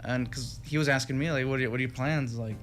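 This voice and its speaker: Young man from Texas, rambling, fillers and restarts, relaxed interview chat, faint music behind. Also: mid-range voice, fast. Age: young adult. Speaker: guest musician.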